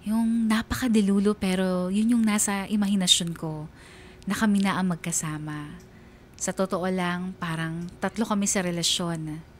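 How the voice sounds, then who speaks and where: An actress playing a wistful young woman who narrates a confession in a measured, confiding radio drama style. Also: mid-range voice, fairly even intonation, slight background noise, natural voice. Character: wistful young woman confessing secret love for best friend's girlfriend. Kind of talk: first-person radio drama letter narration.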